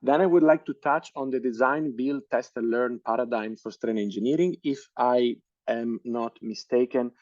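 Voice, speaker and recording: A man in his thirties with an Italian accent lectures over a video call. His tone is mellow and somewhat dark. He hesitates often and restarts sentences. The sound is dry and a little muffled. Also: mid-range voice, normal speed, few pauses, dry recording. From Italy. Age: 30s. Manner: hesitant, many fillers and restarts. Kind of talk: online lecture.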